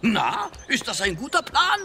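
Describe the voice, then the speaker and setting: Very high-pitched, confident villager. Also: male voice, wildly swooping pitch, a little slow, gravelly, a crowd in the background, full character voice. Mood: rallying. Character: resourceful, confident male villager rallying everyone. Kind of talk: children's audio drama acting.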